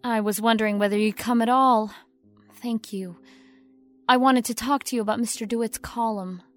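A woman's voice: on the high side, fairly even intonation, normal speed, faint music behind, close to an everyday voice. A woman playing a young, ambitious actress in an audio drama, earnest and self-dramatizing over a scheming streak. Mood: wounded. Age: young adult. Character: young ambitious actress, wounded innocence masking cold scheming.

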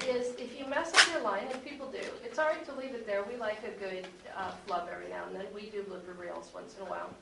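A woman's voice: medium pitch, fast talker, creaky. A woman audio drama producer talking informally as a panelist at a convention. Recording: echoey room, distant mic, faint noise.

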